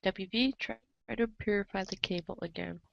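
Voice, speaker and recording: A woman reads scripted story narration at a middling pitch. The recording is close and dry, with a slightly dull, lo-fi sound. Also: fairly even intonation, normal speed, creaky, a bit bright, no echo. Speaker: narrator in a readers' theater show.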